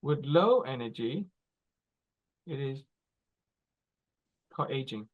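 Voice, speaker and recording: A man in his forties giving a teaching talk, explaining slowly in short phrases and checking in with "right?" and "okay?". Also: mid-range voice, expressive, narrow, tinny audio. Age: 40s. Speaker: speaker.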